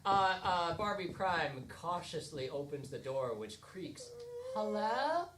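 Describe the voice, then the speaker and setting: A woman's voice: low voice, expressive, unhurried. A woman narrating improvised stage comedy as a performer. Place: theater stage, live show. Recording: echoey stage, clear background noise.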